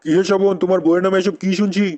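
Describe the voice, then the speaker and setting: A man's voice: high voice, little pitch movement, rapid-fire, lo-fi sound, background noise. A man voicing outraged, blustering village men in a comedy cartoon, speaking dramatically.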